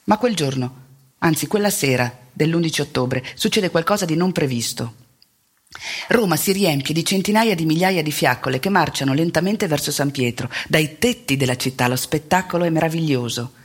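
A woman narrates as a stage actor in a radio theatre monologue. Her delivery is deliberate and measured, and she places clear emphasis on her phrases as she tells the story. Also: low voice, lively intonation.

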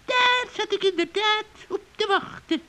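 A performer playing a gloating, spiteful old witch in a children's radio play, with a creaky, cackling voice and a mock-friendly, taunting manner. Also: extremely high pitch, a little slow, bright, forceful tone, slight background noise, heavily acted.

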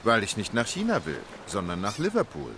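An actor playing a calm, determined English gentleman traveller in a radio play, speaking in a composed, matter-of-fact way. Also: lowish voice, brisk, a little hiss or hum, full character voice.

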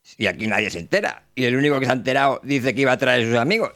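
A man acts out an aggrieved old former homeowner in an audio drama. He grumbles and complains like a cranky squatter passing himself off as a ghost, at a middling pitch. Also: rapid-fire, fairly bright tone, lightly acted. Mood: indignant, grumbling. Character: grumbling evicted old male owner posing as a ghost.

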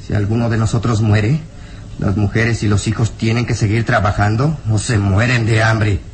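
An actor playing a burly, frightened and bitter peasant villager in a melodramatic radio drama, anguished, emphatic and halting. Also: male voice, lowish voice, level tone, slight background noise, lightly acted. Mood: bitter, fearful.

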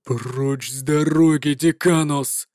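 A woman's voice: fairly low pitch, lively intonation, slow pace, darkish tone, no echo, clean studio sound, pitched below the performer's own voice. A woman voicing an enraged male paladin who growls and threatens. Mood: menacing.

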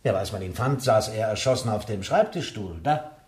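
A man's voice: fairly low pitch, animated, fairly quick, lightly acted. An actor in a German radio crime drama playing a male police inspector, formal, probing and dryly insistent. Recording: echoey room sound.

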